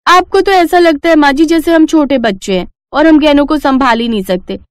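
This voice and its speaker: A woman's voice: high voice, brisk, dry recording, clean studio sound, voice pushed up, natural voice. A woman voicing a greedy, pushy grown daughter-in-law in a cartoon moral story, complaining and eager. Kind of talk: animated moral story dialogue.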